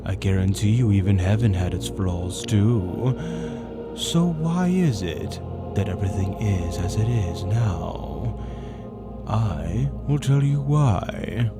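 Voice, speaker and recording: A man voicing a grandiose avian demon tempter in a dark fantasy roleplay monologue, lecturing persuasively with theatrical, drawn-out emphasis over gothic music. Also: fairly low pitch, animated. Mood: impassioned, questioning. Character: male avian demon arch-tempter, grandiose philosophical seducer. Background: loud dark gothic music.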